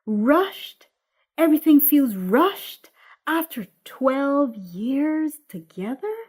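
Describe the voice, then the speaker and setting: Woman playing a defiant thirty-year-old woman, sarcastic, high voice, blunt, script drama. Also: lively intonation, very slow, darkish tone, full character voice. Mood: hurt, angry. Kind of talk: dramatic screenplay reading.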